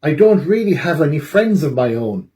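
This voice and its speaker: A man's voice: on the high side, big pitch swings, moderate pace, warm tone. A man voicing a lonely, guilty storybook bear with a pleading, confessional manner. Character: sneaky lonely male bear, tearfully confessing and apologetic.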